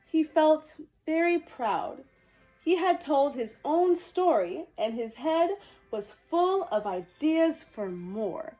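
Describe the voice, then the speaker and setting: A woman hosting a children's storytime, reading and talking to young viewers in an expressive, sweet manner. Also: high voice, slow pace, soft background music. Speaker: children's storytime host dressed as a princess character. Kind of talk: animated children's picture-book reading and direct address to young viewers.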